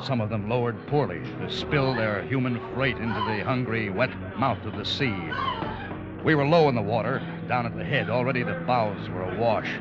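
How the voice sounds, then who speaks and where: An actor playing a grave, weary ship's officer in a vintage radio adventure drama, with dramatic, deliberate delivery. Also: male voice, on the low side, natural voice. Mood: haunted, weary. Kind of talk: 1940s-50s radio adventure drama acting. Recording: dull vintage radio recording, music behind.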